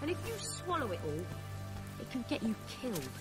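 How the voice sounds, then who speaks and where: A woman's voice: a little high, sing-song, fairly quick. An actress with a British accent playing a brash, blunt, sarcastic young warrior woman in a fantasy video game. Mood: sarcastic, scornful. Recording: long hall reverb, game music behind.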